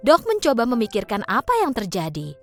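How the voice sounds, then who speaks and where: Casting: woman storyteller for children's cartoons, expressive, animated, higher-pitched, over soft music. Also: fairly quick. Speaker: narrator. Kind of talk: scripted children's story narration.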